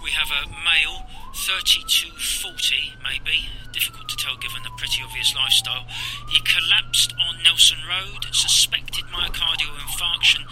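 Paramedic, high voice, very animated pitch, clipped, clinical, urgent, radio play, siren noise. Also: male voice, normal speed, exaggerated voice. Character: brisk, clinical emergency medical responder radioing ahead. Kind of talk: audio-drama emergency report. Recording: loud sirens and vehicle noise.

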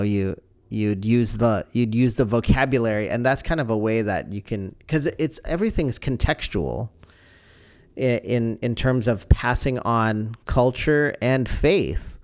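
A man's voice: fairly low pitch, measured pace, darkish tone, dry recording, clean studio sound. A man chatting casually as a podcast host, reflective and giving advice. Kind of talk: casual conversation. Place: home.

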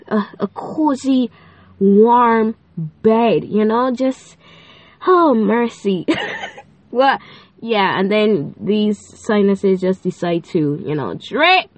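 A woman's voice: mid-range voice, no room sound. Bubbly nasal teenage girl podcaster. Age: teens. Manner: bubbly, chatty, playful, many fillers. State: stuffy, nasal from sinuses. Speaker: podcast host. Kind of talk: casual faith podcast chat.

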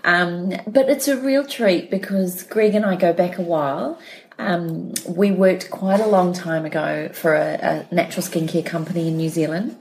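A woman co-hosting a podcast, chatting warmly as she introduces a guest. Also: on the low side, a little hiss or hum. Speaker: podcast co-host. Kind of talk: casual chat.

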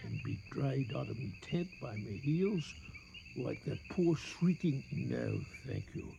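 An actor with a British accent playing a frightened colonial police inspector in a radio drama, agitated and halting in delivery. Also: male voice, medium pitch, animated, gravelly, highs cut off, background noise, full character voice. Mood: frightened, rattled. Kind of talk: 1980 radio drama acting.

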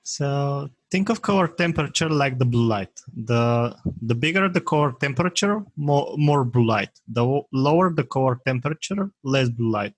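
A man with a Bulgarian accent speaks in a plain middle register, explaining things haltingly as he hunts for the right English words. The sound is close and dry, with no room around it. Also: mid-range voice, expressive, dry recording. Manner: halting, searching for words, laughs often. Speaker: guest, software maker. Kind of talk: casual interview talk.

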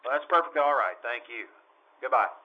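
Man playing a deadpan detective, dull radio recording. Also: medium pitch, fairly quick, heavy background noise, natural voice. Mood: patient, firm. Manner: clipped, matter-of-fact, deadpan. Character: calm, businesslike male police detective narrating his case. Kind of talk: 1950s radio police drama acting and narration.

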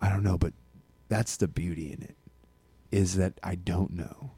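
Young man, tipsy and rambling, swears freely, mellow dark tone, dry close sound. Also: low-pitched, fairly even intonation, normal speed, husky, dry recording. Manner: rambling, swears freely, does silly voices. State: tipsy from scotch. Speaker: podcast co-host. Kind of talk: casual chat over drinks. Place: indoor room.

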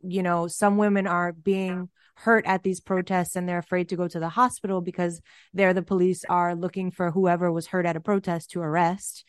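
A woman's voice: lowish voice, level tone, normal speed, clean tone, no echo, clean studio sound. A woman hosting an interview in a casual, chatty way, with many filler words. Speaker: host.

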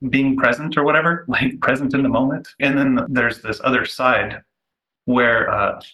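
A man's voice: mid-range voice, fairly even intonation, moderate pace, lo-fi sound. A man taking part in a group discussion, casually thinking aloud in a loose, tentative way as he asks a question. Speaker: participant asking a question.

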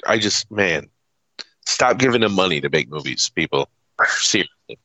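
A man's voice: low-pitched, expressive, normal speed, sharp tone, low-quality recording. A man co-hosting a podcast in casual chat, swearing freely and emphatically in exasperation as he rants through a movie review. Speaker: podcast co-host.

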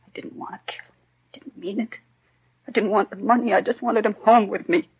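A woman playing a defensive American housewife and mother under suspicion in a 1950s radio crime drama, with a husky, creaky voice. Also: medium pitch, fairly even intonation, fairly quick. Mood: broken, pleading. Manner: indignant, evasive, clipped retorts. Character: defensive, tearful housewife and mother suspected of poisoning her husband. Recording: dull, muffled 1950s radio recording.

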